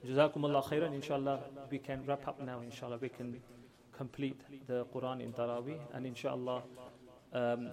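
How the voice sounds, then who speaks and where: Earnest imam addressing a mosque crowd. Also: male voice, mid-range voice, level tone, brisk. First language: not Urdu. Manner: earnest, repeats phrases for emphasis. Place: large mosque hall with congregation. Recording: crowd audible behind.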